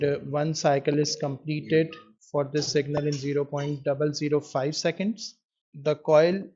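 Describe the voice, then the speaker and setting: A man tutoring in physics, explaining an exam question in a measured way with pauses as he writes. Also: on the high side, darkish tone. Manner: measured, pausing while writing out answers. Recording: dull lo-fi microphone sound.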